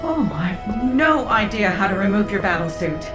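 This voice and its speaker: Casting: woman as curious, careful game scientist, hesitant, lively pitch movement, roomy echo. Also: moderate pace, close to an everyday voice. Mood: amazed, puzzled. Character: careful, curious female scientist at research institute. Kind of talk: video game cutscene dialogue. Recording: echoey, with game music behind. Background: background music.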